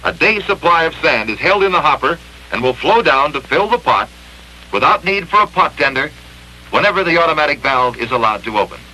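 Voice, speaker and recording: A man narrating a 1960s industrial training film in an emphatic, clearly enunciated instructional manner, from an old film soundtrack. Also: a little high, sing-song, brisk, bright, forceful tone, slight background noise, low-quality recording.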